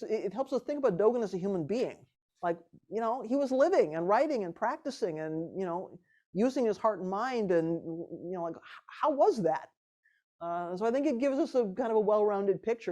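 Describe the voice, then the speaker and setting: A woman leading a retreat discussion in a relaxed, animated way, laughing often and restarting her sentences. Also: fairly low pitch. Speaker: retreat teacher. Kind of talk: casual teaching talk.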